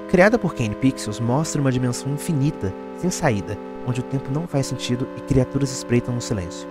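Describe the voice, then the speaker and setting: A man with a Brazilian accent delivering scripted explainer narration in the manner of a video essay. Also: medium pitch. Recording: music bed under the voice. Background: background music.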